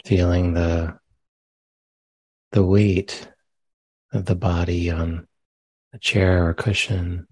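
Man, meditation teacher, low mellow voice, husky softness, gentle phrasing, long pauses. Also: flat delivery, no room sound. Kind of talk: guided meditation. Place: meditation center.

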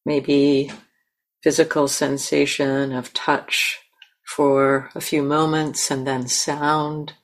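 A woman meditation teacher leading a guided meditation in a gentle, unhurried manner with long pauses. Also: sits low, level tone.

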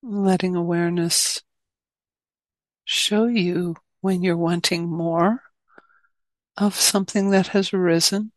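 A woman meditation teacher speaks very slowly in a slightly low voice. Her phrasing is gentle and spacious, with long pauses between short instructions. The sound is dry and close. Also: steady tone, dry recording. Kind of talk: guided meditation.

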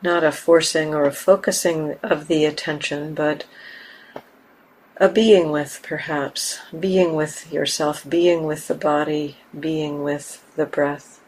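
A woman teaching a guided meditation in a gentle, unhurried manner, leaving long pauses between short phrases. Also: sits low, poor audio. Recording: steady background hiss and room ambience.

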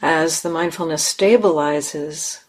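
A woman leading a guided meditation in measured, instructive phrases with long pauses. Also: deep voice. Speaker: meditation teacher.

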